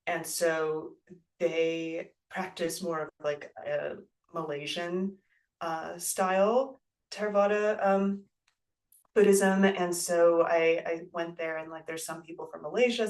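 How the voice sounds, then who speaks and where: Guest from the United States, thoughtful and halting, distant mic. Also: medium pitch. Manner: thoughtful, halting, many fillers, soft laughs. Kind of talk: reflective casual interview talk.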